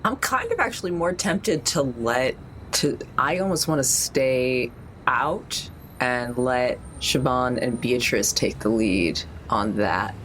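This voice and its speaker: A woman's voice: extremely low pitch, lively intonation, slow, a bit bright, a little hiss or hum. A woman bantering casually as a player in a tabletop role-playing game.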